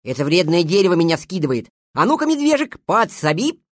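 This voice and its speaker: A woman voicing an excitable, bossy but soft-hearted young male hare in a children's story, with bold, emphatic delivery. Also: lowish voice, brisk, dry recording, studio quality.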